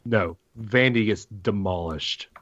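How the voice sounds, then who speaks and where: A man's voice: fairly low pitch, takes it slowly, a bit bright. A man hosting a sports podcast with casual banter, moving the conversation along. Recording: dull lo-fi podcast audio.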